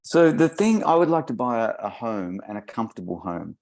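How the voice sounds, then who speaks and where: A man in his fifties speaking in a coaching session over a video call, hesitant and halting, with many pauses. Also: medium pitch, very animated, gravelly, a little mellow. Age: 50s.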